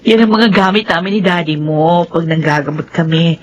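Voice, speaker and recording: A woman playing a gentle, doting mother in a radio drama, affectionate and encouraging. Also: on the low side, moderate pace, natural voice.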